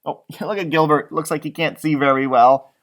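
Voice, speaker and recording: A man reading a storybook aloud to young children, animated and encouraging, with chatty asides. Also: on the high side, moderate pace. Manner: encouraging, chatty, stretches words for young listeners.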